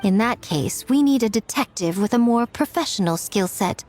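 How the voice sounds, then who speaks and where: Actress as a sly priestess with lively, high pitch. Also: female voice, soft background music, natural voice. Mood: sly, calculating. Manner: smooth, deliberate, theatrical. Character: sly, poised shrine priestess, teasing schemer. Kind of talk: anime game voice acting.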